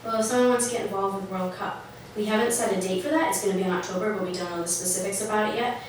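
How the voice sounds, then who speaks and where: A woman's voice: medium pitch, very fast, slight background noise. A young woman, a student club member from Russia, chatting in a casual interview with plenty of fillers. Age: young adult. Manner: chatty, filler-heavy, upbeat. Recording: echoey room, mic far off.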